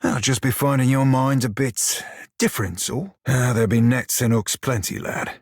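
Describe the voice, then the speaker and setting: Man acting roguish pirate sailor, rough husky voice, irreverent banter, archaic nautical talk, video game character, very dry studio sound. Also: mid-range voice, normal speed, no echo, lightly acted. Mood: wry, casual. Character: roguish blue fantasy pirate sailor, male, salty and irreverent. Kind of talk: video game voice lines, banter and fight barks.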